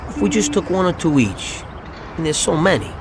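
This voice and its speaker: An actor playing a greedy, restless gangster sidekick in a video game, informal and wheedling. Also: male voice, on the high side, sing-song, fairly quick, background noise.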